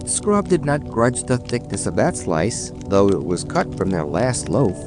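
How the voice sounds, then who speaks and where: A man's voice: lowish voice, sing-song, measured pace. A man narrating a scripted bedtime fairy tale dramatically as a storyteller. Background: background music.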